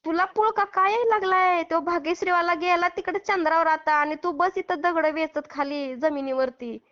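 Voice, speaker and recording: High-voiced woman, Maharashtrian accent, confrontational, taunting, heated phone argument, dull lo-fi call recording. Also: steady tone, normal speed, a bit bright. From Maharashtra, India. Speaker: prank caller who posts call recordings.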